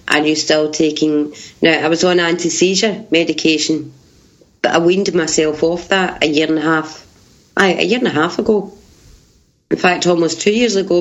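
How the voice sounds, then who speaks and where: A woman's voice: lowish voice, muffled recording. Woman with a big voice. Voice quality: big, loud voice. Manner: animated, laughs while telling her story. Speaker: interview guest. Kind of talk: casual storytelling.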